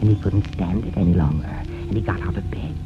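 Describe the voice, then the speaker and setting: A man narrating a horror tale in theatrical, suspenseful 1930s radio style with dramatic pauses, on a muffled vintage recording. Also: low-pitched. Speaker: horror show narrator and host. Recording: muffled 1930s radio, loud music behind.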